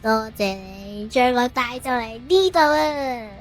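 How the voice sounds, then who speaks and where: A woman's voice: a little high, animated, a little slow, soft background music. A woman with a Hong Kong Cantonese accent voicing a boastful bacterial monster, a manga villain who panics easily. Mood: gloating.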